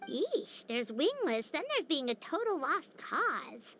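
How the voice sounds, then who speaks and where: A woman's voice: high-pitched, very animated, slow pace, a bit bright, soft background music, full character voice. A woman voicing a tiny floating female companion who is cheeky and blunt in a video game. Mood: snarky. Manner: snarky, bouncy.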